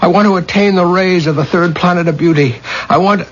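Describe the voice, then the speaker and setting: An actor playing an obsessed young mystic student in a 1970s American radio drama, brooding, fervent and theatrical. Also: male voice, high voice, brisk. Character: intense, obsessed young male mystic student, lovesick and defiant. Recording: dull, faintly noisy 1970s radio recording.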